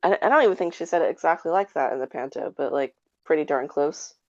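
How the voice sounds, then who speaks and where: Low-voiced woman, dull lo-fi sound. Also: quick pace, a little mellow. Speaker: guest on a review podcast. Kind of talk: casual chat. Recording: dull lo-fi call audio.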